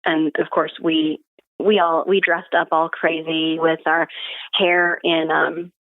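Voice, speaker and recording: Young woman, low voice, phone-like sound. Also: flat delivery, slow, no echo. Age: young adult. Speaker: podcast guest. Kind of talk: casual personal storytelling. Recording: narrow phone-like call audio.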